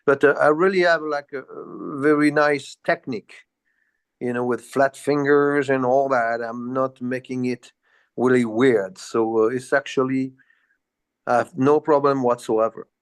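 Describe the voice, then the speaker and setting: A man's voice: medium pitch, fairly even intonation, a little slow, smooth. Older Frenchman reminiscing casually. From south of France. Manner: rambling, repetitive, peppered with "you know". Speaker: interview guest, jazz bassist.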